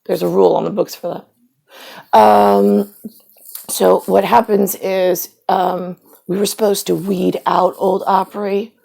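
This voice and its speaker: A woman's voice: mid-range voice, flat delivery, takes it slowly, gravelly, dark tone. A woman in a rambling monologue, quoting her own conversations with sudden bursts.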